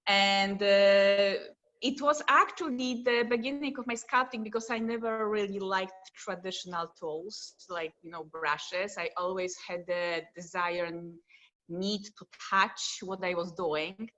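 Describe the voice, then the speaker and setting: Bright-voiced Polish woman on a call. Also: mid-range voice, steady tone, a little slow. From Poland. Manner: non-native phrasing, laughs often. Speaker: guest artist, sculptor. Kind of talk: casual interview over video call. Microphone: video call. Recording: dull lo-fi video call audio.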